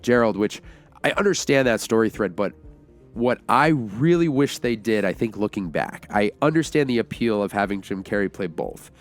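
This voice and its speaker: A man's voice: lowish voice, lively intonation, moderate pace, faint music behind. A man co-hosting a podcast, talking casually and with emphasis as he reviews a film. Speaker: podcast co-host reviewing films.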